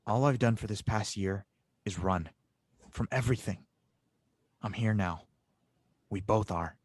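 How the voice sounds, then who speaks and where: A man's voice: on the low side, unhurried, dry recording. A man playing a determined, earnest young engineer hero in an audio drama read.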